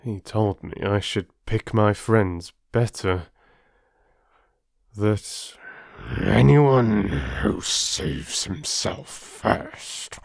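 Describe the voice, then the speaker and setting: Man as a low, dry older traveller. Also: drawn-out words, vocal fry, exaggerated voice. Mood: pointed, reproachful. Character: older male traveller, calm, dry, wise.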